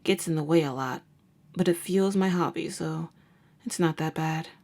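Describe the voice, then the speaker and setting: A woman's voice: deep voice, creaky, a little mellow. A woman playing a jaded, sardonic vampire hunter who works as a nurse, talking in a wry, slangy way in an ASMR roleplay. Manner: wry, casual, slangy, unhurried. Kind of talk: ASMR roleplay audio drama.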